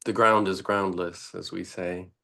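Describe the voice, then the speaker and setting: A man teaching meditation in an unscripted, deliberate way, pausing often between short phrases. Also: low voice, little pitch movement, vocal fry, bright, forceful tone.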